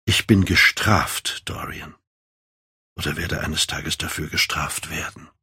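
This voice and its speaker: Man doing sad earnest older painter guy, audiobook, hushed n pained, husky, dry sound. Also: rumbling low, slow, no room sound, full character voice. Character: older male painter, sorrowful, earnest, horrified moralist. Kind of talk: dramatic audiobook character reading.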